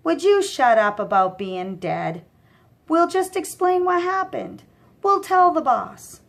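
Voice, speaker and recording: A woman's voice: high voice, very animated, slow, creaky, voice pushed up, heavily acted. Woman playing a snappish, bossy male crook. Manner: snappish, scolding, exasperated. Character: bossy, irritable male goon, smarter of two crooks. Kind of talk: children's audiobook character voice.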